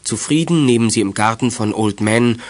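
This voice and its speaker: An actor as the earnest storyteller of a children's detective radio play, reading scripted narration. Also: male voice, lowish voice, moderate pace, natural voice. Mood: earnest storytelling. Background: music.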